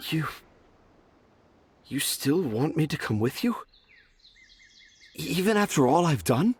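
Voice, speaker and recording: A man playing a remorseful, self-deprecating father in a video game, speaking in a halting, apologetic way. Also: on the high side, very animated, breathy edge, full character voice. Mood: tentative, hopeful. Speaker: voice actor in a game. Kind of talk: emotive video game story dialogue.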